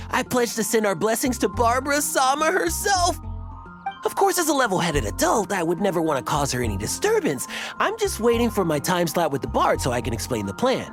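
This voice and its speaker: An excitable young male game character with a high voice that swoops wildly. He is pompous and lovestruck, stammering and gushing over music. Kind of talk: video game character acting. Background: background music.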